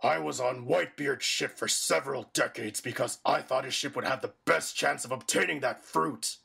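A man playing a boastful, menacing pirate villain in an anime fan dub, with a gravelly, growling, theatrical delivery. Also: medium pitch, normal speed, bright, forceful tone, heavily acted. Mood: recounting. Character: gleeful, boastful hulking pirate villain wielding darkness.

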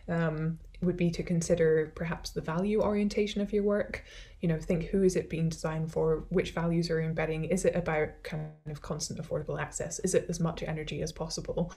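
Low-voiced Scottish woman academic. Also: dark tone. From Scotland. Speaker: academic speaker, social science scholar. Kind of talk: conversational question-and-answer after an academic talk.

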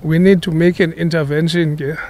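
A middle-aged South African man giving an earnest, measured on-camera statement outdoors, speaking into a handheld reporter microphone and switching between isiXhosa and English. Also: on the high side, little pitch movement, a little mellow. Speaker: local businessman making a public appeal. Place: outdoors at an old sports stadium.

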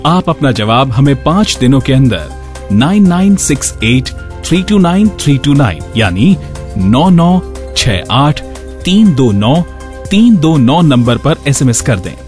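A man's voice: mid-range voice, sing-song, a little slow, darkish tone, faint music behind. A man hosting a radio show, reading scripted narration and announcements.

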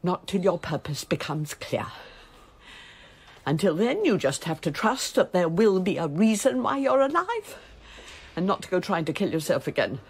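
A woman's voice: lowish voice, sing-song, normal speed, slightly rough, heavily acted. An actress playing an imperious older English noblewoman in a radio drama, formal, wry and insistent, with an English accent. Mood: earnest, insistent.

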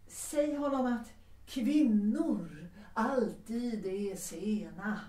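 Determined, dignified widow played by a woman for a period radio play; warm dark timbre, slightly distant, roomy. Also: medium pitch, expressive, lightly acted. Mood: firm. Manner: formal, dignified, insistent. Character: proud, determined genteel widow, a mother. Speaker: actor in an audio drama. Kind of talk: period audio drama acting, 1930s setting. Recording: echoey room, slightly distant.

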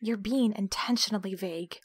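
A woman's voice: mid-range voice, normal speed, breathy edge, no room sound, lightly acted. An actress playing a defiant, foul-mouthed female captive in an audio drama, biting and sarcastic.

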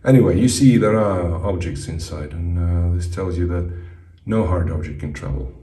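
A middle-aged man from Russia, a native Russian speaker, giving a casual, halting demonstration as an enthusiast presenter in his basement. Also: deep voice, warm tone. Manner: halting, hesitant delivery. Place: indoor basement.

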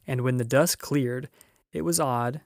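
A man narrating a children's story as an audiobook reader, in an expressive storytelling style. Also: mid-range voice, unhurried, no room sound, studio quality.

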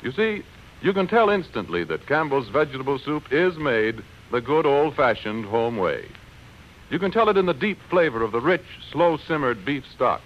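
An American male announcer of mid pitch delivers a scripted 1940s radio pitch. He is folksy, emphatic and persuasive. The recording sounds dull and dated. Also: slight background noise.

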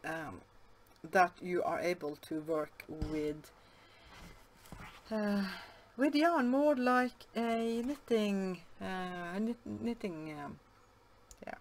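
A Norwegian woman, English not her first language, speaking in a casual vlog with many pauses, fillers and restarts. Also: mid-range voice, animated, a little hiss or hum. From Norway. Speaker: yarn vlogger. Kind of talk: casual show-and-tell vlog. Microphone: camera above the table, hands only.

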